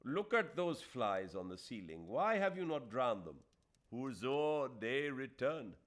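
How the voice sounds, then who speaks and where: A man voicing a moody, proud and sensitive Indian gentleman in an audiobook, with emphatic, fretful delivery. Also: medium pitch, very animated, slow pace, pitched above the performer's own voice. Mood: irritable.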